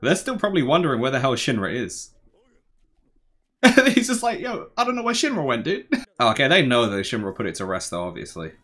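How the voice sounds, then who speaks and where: A young man giving casual, slangy reaction commentary, musing aloud in a laid-back, tired, low-energy way. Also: wildly swooping pitch, brisk, a bit bright. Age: young adult. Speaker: anime reaction host. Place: small bedroom, indoors.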